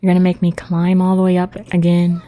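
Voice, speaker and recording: Low, mellow-voiced woman streamer. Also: completely flat delivery, a little fast. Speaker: livestreamer. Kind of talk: casual game stream chat.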